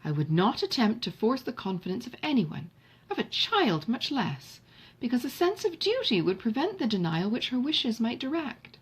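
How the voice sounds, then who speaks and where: A woman's voice: a little high, big pitch swings, normal speed, husky, voice pushed up. A woman voicing an indulgent, romantic mother in a classic novel audiobook, earnest, emphatic and genteel in manner. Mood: earnest, protective. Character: indulgent, romantic mother defending her daughter's privacy.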